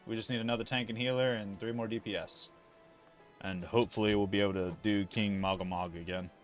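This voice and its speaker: A man's voice: fairly low pitch, fairly even intonation, quick pace, soft background music. A man casually commentating a livestream of an online fantasy game, rambling and thinking aloud with casual swearing.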